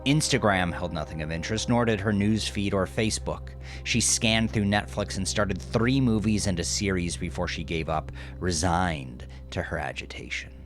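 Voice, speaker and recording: A man narrating scripted horror fiction in a measured, literary, dramatic way, dropping at times into a hush. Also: deep voice. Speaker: audiodrama narrator. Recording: music under the voice. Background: music.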